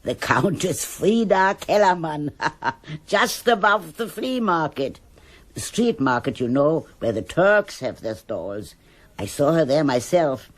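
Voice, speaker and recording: A woman's voice: low voice, sing-song, takes it slowly, full character voice. An actress playing a disdainful, gossipy older woman in a radio drama, scornful and sly.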